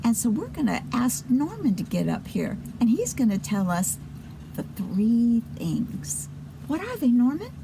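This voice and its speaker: A woman's voice: a little high, huge pitch swings, measured pace, creaky, noisy background. A woman hosting a children's show and telling a story, with chatty asides to her listeners.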